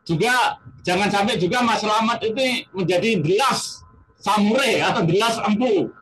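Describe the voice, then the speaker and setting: High-voiced male interviewer, faint background noise. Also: normal speed, fairly bright tone, poor audio. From Indonesia. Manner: repeats phrases, lists points, seeks agreement. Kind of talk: conversational interview.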